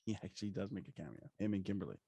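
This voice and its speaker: A man's voice: deep voice, lively intonation, very fast, warm tone, husky, no echo. A man co-hosting a podcast, chatting casually and enthusiastically about pop culture with plenty of swearing and slang. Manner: profane, slangy. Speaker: podcast co-host.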